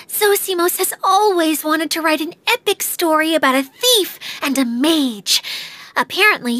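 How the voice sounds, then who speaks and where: A woman's voice: high-pitched, a bit bright. A woman voicing a bubbly young female game character, perky and theatrical. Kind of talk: video game voice acting.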